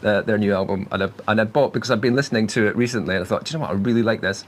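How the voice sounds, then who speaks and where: A man's voice: on the low side, racing. A man hosting a music podcast as producer and engineer, chatting casually and laughing often. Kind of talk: casual chat. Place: music studio building.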